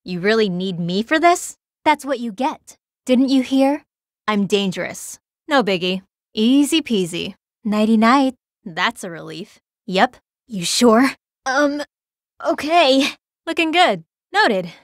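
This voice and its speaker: Blunt, aloof young fighter, fairly high. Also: female voice, lively intonation, slow, no room sound, studio quality. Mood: irritated, blunt. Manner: casual, offhand, nonchalant. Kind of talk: video game battle voice clips.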